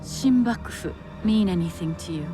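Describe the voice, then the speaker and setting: An actress playing a gracious, composed Japanese noblewoman and widow in a video game, speaking formally and with measured care. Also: on the low side, lively intonation, close to an everyday voice. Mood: grave, determined. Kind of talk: video game cutscene acting. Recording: loud music behind the voice. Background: music.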